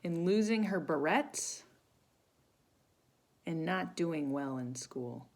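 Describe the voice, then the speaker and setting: A woman reading a children's story aloud expressively, pausing to chat with young listeners. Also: lowish voice, animated, darkish tone. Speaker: volunteer storybook reader for children.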